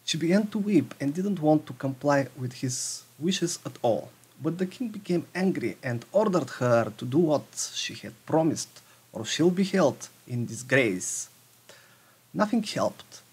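A man reading a fairy tale aloud for children as a storyteller, halting at times and rereading words. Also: on the high side, animated, a little mellow, husky, low-quality recording.

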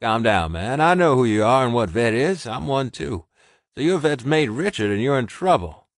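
A man voicing a gruff, commanding adult male wolf shifter who speaks loud and husky. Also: very animated, normal speed, no room sound, studio quality. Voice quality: deep husky voice.

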